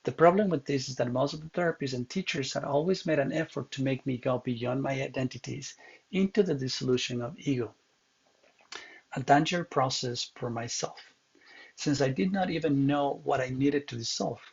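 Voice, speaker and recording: A man reads a prepared presentation in a measured, earnest voice that slips on a word now and then. His voice is gravelly and a little husky. The recording is muffled and lo-fi. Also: medium pitch. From Ecuador. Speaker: counseling student. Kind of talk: read presentation narration.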